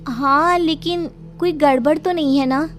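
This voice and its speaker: A young cartoon wife played by a woman with an extremely high voice. She sounds anxious and pleading, always sensing something eerie, with faint music behind her. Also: fairly even intonation, measured pace. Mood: uneasy. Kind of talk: Hindi horror cartoon dialogue.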